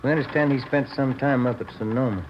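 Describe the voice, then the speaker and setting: A courteous American police detective played by a male actor questions evenly in a mid-pitched voice. He sounds businesslike, on an old radio drama recording that is dull and faintly noisy. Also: quick pace, natural voice. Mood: polite, probing. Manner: even, courteous, matter-of-fact. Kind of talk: 1950s radio drama acting.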